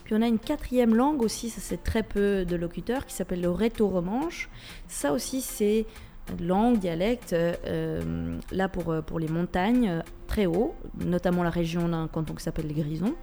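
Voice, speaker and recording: A woman's voice: fairly low pitch, moderate pace, warm tone. A woman from Switzerland with a Swiss French accent, a radio guest who talks with many hesitations and restarts. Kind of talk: radio interview.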